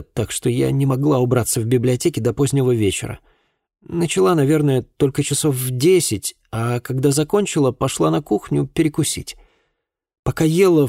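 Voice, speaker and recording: A man voicing a distressed young housemaid in an audiobook, speaking in a halting, plaintive, anxious way. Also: mid-range voice, gravelly, warm tone, no echo, clean studio sound, pitched above the performer's own voice. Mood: halting, dejected. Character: distressed young housemaid woman recounting an assault. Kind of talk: acted audiobook dialogue.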